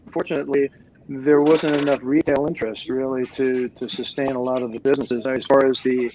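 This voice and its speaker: An older man from Germany, a guest in a casual chat, talking haltingly with many fillers and restarts. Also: medium pitch, level tone, darkish tone, a little hiss or hum, rough recording.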